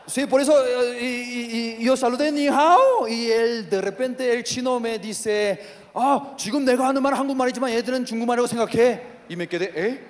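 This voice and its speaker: A man from Korea speaking Spanish with a Korean accent as a non-native speaker, doing stand-up with halting phrasing, lots of fillers and sudden shouted outbursts. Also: very high-pitched, slight background noise. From South Korea. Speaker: stand-up comedian. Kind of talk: stand-up comedy set. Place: large theater stage. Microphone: handheld mic. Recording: live hall reverb with audience.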